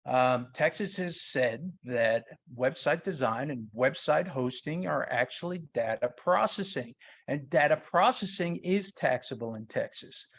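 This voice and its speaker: A man with a New York accent giving an informal explanatory webinar talk in a conversational, plain-spoken way. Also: fairly high pitch. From New York, United States. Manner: conversational, plain-spoken, uses everyday examples. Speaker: sales tax consultant presenting.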